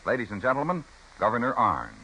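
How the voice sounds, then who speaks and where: Bright, forceful American male radio announcer. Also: mid-range voice, huge pitch swings, quick pace, breathy edge, poor audio. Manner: formal, emphatic.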